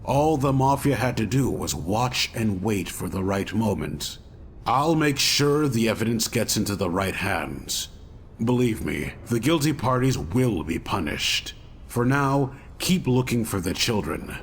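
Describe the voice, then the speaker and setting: A serious, resolute investigator in a mystery game. His voice is low with very animated intonation as he briefs a detective. Faint noise sits behind him. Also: unhurried. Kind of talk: scripted game cutscene dialogue.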